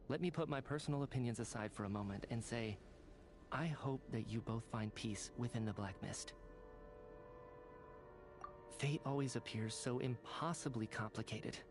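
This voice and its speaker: An actor playing a resolute survivor leader in a video game, stern, philosophical and exhorting. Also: male voice, medium pitch, close to an everyday voice. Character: resolute male survivor leader urging others onward. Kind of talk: dramatic video game voice acting.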